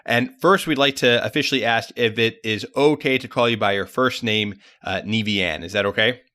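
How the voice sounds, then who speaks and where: A man's voice: fairly low pitch, moderate pace, pushed and bright. A man hosting a podcast, speaking in casual, chatty interview style. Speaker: podcast host.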